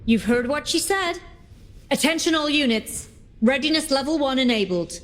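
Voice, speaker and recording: Serious, high-voiced female operative. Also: lively intonation, moderate pace. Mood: commanding. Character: tense, cautious young female tech operative of a secret organization. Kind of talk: English game dub acting.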